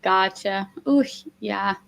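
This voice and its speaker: A woman losing her voice, hosting a casual stream from home, talking softly and laughing often. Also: medium pitch, takes it slowly, vocal fry, clean tone. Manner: soft-spoken, laughs often. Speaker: livestream host. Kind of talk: casual chat on a writing stream.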